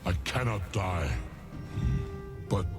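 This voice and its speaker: Ancient savage bear storm god, game villain type, growly gravel voice, super slow and brooding, big swings in pitch. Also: male voice, animated, exaggerated voice. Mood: solemn, brooding. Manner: slow, grandiose, solemn delivery. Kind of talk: video game voice acting. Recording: loud music under the voice.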